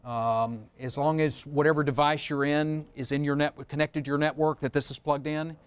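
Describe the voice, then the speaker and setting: A man's voice: on the high side, steady tone, normal speed, smooth, dry recording. A middle-aged man leading a seminar, explaining a product in an informal, plain-spoken way with casual asides. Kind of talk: informal technical product demonstration. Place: studio room, seated at demo table among a small group. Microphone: wireless mic, close.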